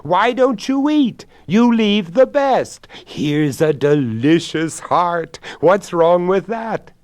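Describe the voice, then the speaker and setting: A man's voice: sits high, animated, takes it slowly, voice pushed up. A man voicing a brash, taunting trickster rabbit in a folktale, calling out boldly and mockingly. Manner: shouting, mocking, bold.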